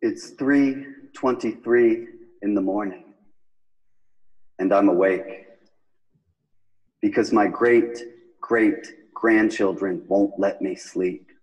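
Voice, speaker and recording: A man giving a measured, incantatory spoken-word recitation of his poem, played from a recording. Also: fairly low pitch, poor audio.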